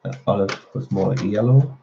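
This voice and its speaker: A man's voice: on the low side, fairly quick, mellow, lo-fi sound, a little hiss or hum. A man hosting a colouring channel, chatting casually with viewers while he colours, a little nasal as he gets over a cold. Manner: chatty, answering viewer comments. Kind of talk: casual live-stream chat while colouring.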